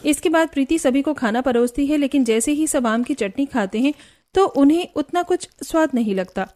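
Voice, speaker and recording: A woman's voice: sits high, lively intonation, normal speed, a little mellow. A woman reading scripted narration for a cartoon family story.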